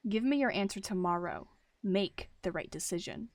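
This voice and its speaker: A woman's voice: expressive, no echo, close to an everyday voice. A woman voicing a scheming female cat leader with a controlled, menacing growl in an animal roleplay story. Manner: low growling, slow, controlled.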